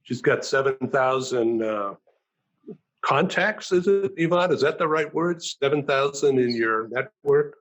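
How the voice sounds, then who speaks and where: A man hosting a talk show over a video call, thanking guests and announcing upcoming shows, talking haltingly with many fillers. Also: medium pitch, expressive, fairly bright tone, muffled recording.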